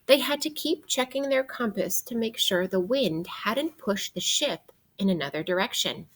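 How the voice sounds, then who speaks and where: Female teacher for children's read-aloud: slightly raspy, animated pitch, expressive, guiding delivery with questions. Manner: expressive, guiding with questions and pauses for young listeners. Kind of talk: interactive read-aloud of a children's nonfiction book.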